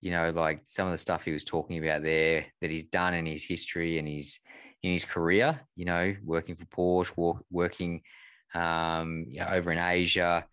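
A man co-hosting a motorsport podcast, bantering and teasing in a relaxed way. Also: sits low, normal speed, smooth, dry recording. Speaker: podcast co-host. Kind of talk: casual motorsport podcast chat.

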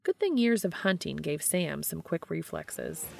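A woman's voice: low voice, expressive, measured pace, gravelly, a little mellow, faint music behind. A woman reading fan fiction aloud as scripted story narration.

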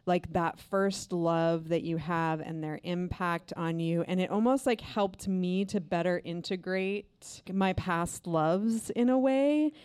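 Mellow-voiced chatty woman co-host. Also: on the low side, slow, few pauses, clean tone, no room sound, studio quality. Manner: effusive, chatty with many fillers. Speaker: podcast co-host. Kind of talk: casual chat.

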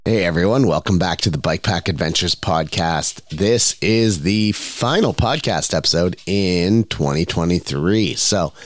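A man hosting his own podcast, stuffed up with a cold, talking in a relaxed, rambling way with lots of fillers. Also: sits low, unhurried, hardly any pauses. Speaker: podcast host. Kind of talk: casual solo podcast monologue.

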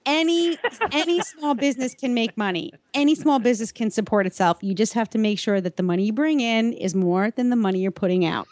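A woman's voice: fairly high pitch, a little fast, hardly any pauses, darkish tone, no echo. A woman co-hosting a casual podcast conversation, explaining things in a practical, matter-of-fact way. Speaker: podcast co-host.

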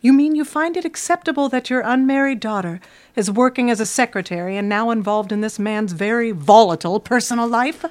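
A woman's voice: fairly high pitch, a little fast, lightly acted. An actress playing a prim, fretful middle-aged mother who scolds like a martyr in an audio drama. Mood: indignant, martyred.

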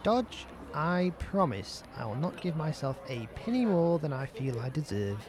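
A man's voice: on the high side, very animated, moderate pace, crowd noise. A man playing a sly, bossy, cheeky schoolboy in an amateur audio drama. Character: Pete, scheming cheeky schoolboy pal in trunks.

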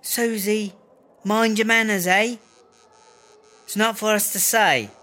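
An actor playing a cocky, rambling, foul-mouthed prison inmate in a comedy mock interview, full of British-style slang. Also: male voice, sits high, fairly even intonation, slow, bright, forceful tone, full character voice. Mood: indignant.

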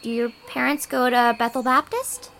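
A woman's voice: high voice, fairly even intonation, moderate pace, gravelly, fairly bright tone. An actress playing a friendly young local woman in an audio drama, casual and chatty.

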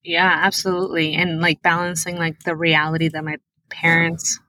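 Bright-voiced woman from Peru, low pitch. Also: normal speed, no echo. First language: Spanish. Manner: earnest, animated storyteller. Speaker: guest, tech product manager. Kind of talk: interview answers, personal storytelling.

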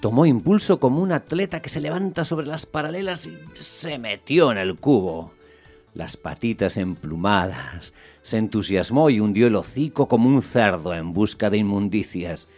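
An actor narrating a radio play as a measured storyteller, in a deliberate, literary, descriptive way. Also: male voice, lowish voice, animated, darkish tone, faint music behind. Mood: wry, measured. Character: measured male storyteller, wryly observing a small dog.

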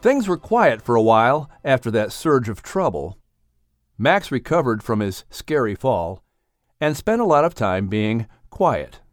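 Expressive male storybook narrator. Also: mid-range voice, unhurried, no room sound, studio quality, soft background music. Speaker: announcer and audiobook narrator.

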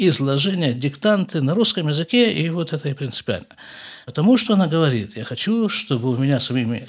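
A middle-aged man, a podcast host, calmly asking his grown son questions in an unhurried family conversation. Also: on the high side, breathy edge.

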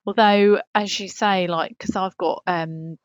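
A woman's voice: medium pitch, steady tone, measured pace, dry recording, dull audio. A woman co-hosting a podcast, chatting in a rambling, hesitant, matter-of-fact comic style. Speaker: podcast co-host. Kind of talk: casual comic podcast chat.

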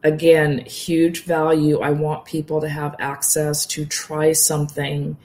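A woman who teaches yoga nidra, speaking as an interview guest in a calm, soothing and encouraging way. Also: sits low, measured pace. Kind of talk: casual interview talk.

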